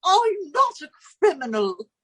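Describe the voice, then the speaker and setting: Very high-pitched Irish neighbour lady. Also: big pitch swings, unhurried, pushed and bright, exaggerated voice. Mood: coaxing. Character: older Irish woman neighbour, brisk and coaxing. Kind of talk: 1940s radio comedy acting.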